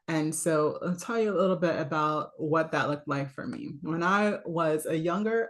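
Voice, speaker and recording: A woman coach with a low voice, giving a casual, chatty talk full of fillers, little laughs and "right?". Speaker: voice and leadership coach.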